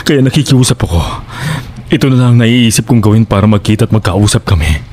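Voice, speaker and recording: Isang lalaking gumaganap bilang desperado at labis magmahal na binatang nagmamakaawa sa dating nobya, may puntong Pilipino, sa isang audio drama. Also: male voice, lowish voice, lively intonation, fast talker, a little mellow. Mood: pleading. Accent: Filipino. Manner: pleading, overwrought. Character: desperate, obsessive young man pleading with his ex-girlfriend. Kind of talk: Tagalog audio horror drama acting.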